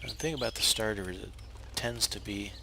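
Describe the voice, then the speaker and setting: A man with a low, raspy voice, hoarse because he is unwell, explains a game build at an easy pace, stopping to correct himself now and then. The home recording carries a steady hiss, and birds chirp faintly in the background. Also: fairly quick. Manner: relaxed, explanatory, with frequent uh fillers and restarts. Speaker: game tutorial narrator. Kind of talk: casual step-by-step gameplay tutorial.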